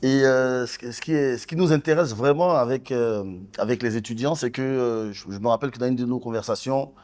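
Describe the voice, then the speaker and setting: A male host conducting a gallery interview in front of students. He speaks formally and deliberately, often halting as he builds a phrase, at a middling pitch. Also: a little mellow.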